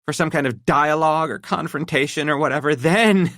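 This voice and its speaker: A man's voice: a little high, brisk, a bit bright, no echo, clean studio sound, pitched above the performer's own voice. A man voicing a distraught, betrayed wife who accuses her husband in a shaking voice that rises to shrieks. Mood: shaken, accusing. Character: distraught betrayed middle-aged wife, shrill.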